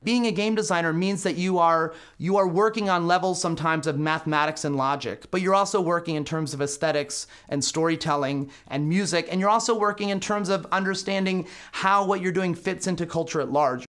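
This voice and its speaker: Male game designer, lightish high voice, clean and smooth, reflective interview talk. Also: a little fast, few pauses, fairly bright tone.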